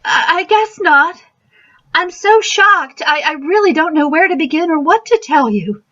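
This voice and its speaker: A woman's voice: very high-pitched, expressive, measured pace, fairly bright tone, exaggerated voice. A woman playing a flustered, genteel housewife hostess in a radio mystery, speaking hesitantly on a dull recording. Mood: shaken, hesitant. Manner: hesitant, fluttery.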